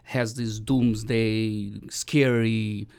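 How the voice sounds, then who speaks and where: A man's voice: on the low side, fairly even intonation, extremely slow, vocal fry, a little mellow, smooth, no room sound. A man from Romania co-hosting a casual Bible study talk, thoughtful and asking questions as he goes. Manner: thoughtful, asks questions, many fillers. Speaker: podcast co-host.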